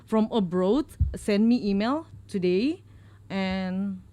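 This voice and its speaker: A woman from Indonesia with an Indonesian accent, speaking as a radio guest in halting English. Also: medium pitch, warm tone. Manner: halting, many fillers and restarts. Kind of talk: casual talk in English as a second language.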